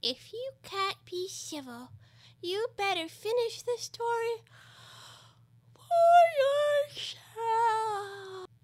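A woman voicing a sleepy, sulky storybook dormouse in a feeble, hoarse, drawling voice. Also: animated, bright, forceful tone, dry recording, clean studio sound, exaggerated voice. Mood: sulky, indignant. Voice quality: hoarse, feeble, high-pitched. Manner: slow, drawling, drowsy.

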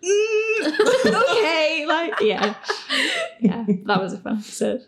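A woman's voice: high-pitched, big pitch swings, takes it slowly, noisy background. A woman hosting a casual question and answer chat, giggly, rambling and self-deprecating. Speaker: podcast host, creator and voice actor of the show.

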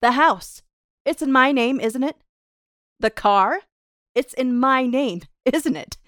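A woman's voice: high voice, animated, slow pace, a bit bright, dry recording, clean studio sound, heavily acted. A woman playing a scornful, taunting gold-digging wife in a theatrical reading of a 1930s radio melodrama, with slangy delivery. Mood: gloating cruelty. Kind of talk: 1930s radio horror melodrama table read.

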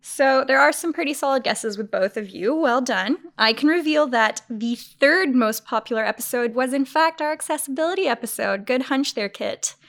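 A woman's voice: high voice, animated, normal speed, creaky, few pauses, a bit bright. A woman hosting a work podcast, running a playful quiz for her colleagues in an upbeat manner. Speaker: podcast host.

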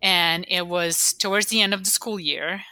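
A woman in her forties from Bosnia, recounting personal memories as an interview guest in a halting, reflective way. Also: lowish voice, fairly even intonation, pushed and bright, no echo. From Bosnia (former Yugoslavia). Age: 40s.

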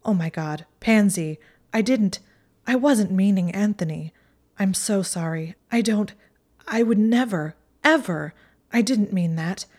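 A woman voicing an earnest, clever young witch in a storybook reading, speaking in rushed, pleading apologies. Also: medium pitch, darkish tone, close to an everyday voice.

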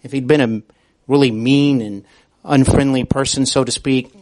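A man with a medium-pitched voice hosts a conversational interview. He phrases his thoughts carefully, with plenty of qualifiers and agreement. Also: fairly even intonation, normal speed, darkish tone. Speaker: podcast host, interviewer.

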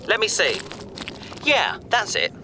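A man's voice: sits high, wildly swooping pitch, slow pace, background noise, heavily acted. A man playing a bookish, enthusiastic space adventurer who explains things chattily in a sci-fi audio drama. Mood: puzzled, thinking. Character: male bookish, eager space adventurer and explorer.

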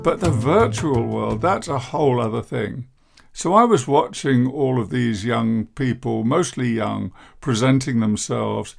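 A man in his sixties, a therapist hosting his own podcast, talking in a deep, croaky, downbeat and self-deprecating way. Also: darkish tone. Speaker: podcast host, therapist and coach. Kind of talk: reflective podcast monologue.

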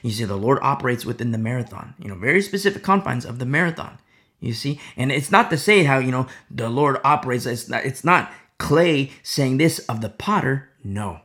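A man teaching a Bible study sermon in a rhetorical style. He keeps asking "you see?" and drops into hushed asides. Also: mid-range voice, expressive, brisk.

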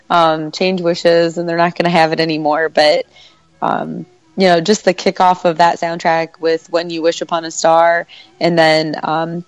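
A woman with a cold and a congested voice, chatting casually as a podcast co-host, laughing often and filling pauses with "um" and "like". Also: low voice, dry recording. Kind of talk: casual chat.

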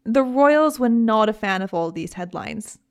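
A woman's voice: fairly high pitch, a little slow. Chatty British woman telling a story. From Britain. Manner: chatty, animated, frequent laughs and asides. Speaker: podcast host. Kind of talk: casual storytelling chat.

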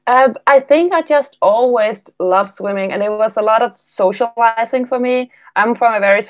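A woman's voice: fairly high pitch, moderate pace, no room sound, dull audio. A woman from Denmark with a Danish accent, speaking English in a casual interview, reflective and prone to restarting her sentences. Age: 30s. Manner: reflective, with frequent restarts and laughs. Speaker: guest, former Olympic swimmer.

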